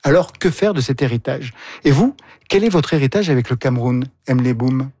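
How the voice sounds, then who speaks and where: Un homme qui anime un entretien littéraire et présente les livres avec aisance. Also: male voice, mid-range voice, a little fast, darkish tone, slight background noise. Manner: articulate, presents books at length. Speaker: host. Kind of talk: literary interview.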